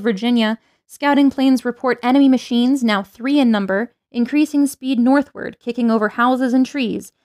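A woman's voice: a little high, natural voice. Woman playing a grave radio news announcer. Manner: formal, measured, serious delivery. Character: grave female radio news announcer reading urgent invasion bulletins. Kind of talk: radio drama news bulletin.

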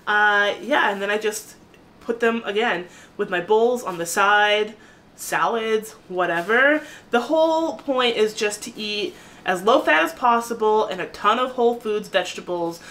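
A woman in her thirties talking casually to camera in a small home kitchen, chatty and animated. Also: mid-range voice, a little slow. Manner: chatty, animated, gestures a lot while talking. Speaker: vlogger. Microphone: camera at a distance.

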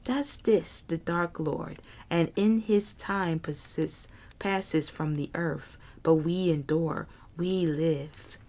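A woman's voice: deep voice, fairly even intonation, dark tone. Woman voicing a hissing reptilian creature. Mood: bitter. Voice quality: rasping, hissing. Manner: slow broken speech with whispered hisses. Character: hissing reptilian male creature, bitter and vengeful. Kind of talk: audiobook character voice.

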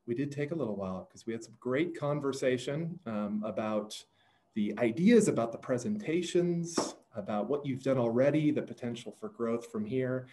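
Mellow-voiced man announcing an award. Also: mid-range voice, lively intonation, moderate pace, slight background noise. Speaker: competition judge. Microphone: video call.

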